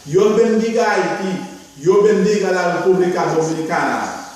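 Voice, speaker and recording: A man's voice: sits high, a little mellow, very echoey, in a large hall, recorded from a distance, rough recording. A middle-aged Haitian man, a pastor, making an earnest recorded appeal in Spanish with a Haitian accent. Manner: earnest, pleading, slow and deliberate. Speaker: pastor and missionary.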